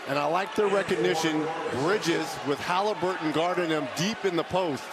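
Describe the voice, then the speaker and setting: A man's voice: a little high, normal speed. A man giving live television basketball analysis as a color commentator. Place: basketball arena. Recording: loud arena crowd and court noise. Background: roaring crowd, bouncing ball.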